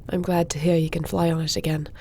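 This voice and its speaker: Warrior princess played by a woman, fantasy audio roleplay: confident, formal, deep for a woman, gravelly edge. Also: flat delivery, quick pace, dark tone, slight background noise. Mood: confident, cordial. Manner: assured, formal. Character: confident warrior princess, dragon shifter commander, self-assured.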